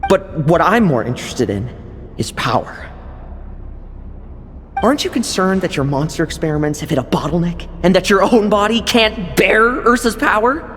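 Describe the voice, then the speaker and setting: An actor playing a cool, sardonic young schemer in a video game, with a wry, measured, dismissive manner and long reverb. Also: male voice, sits high, lively intonation, fairly bright tone. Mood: wry, ambitious. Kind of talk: dramatic video game voice acting. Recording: long hall reverb over music.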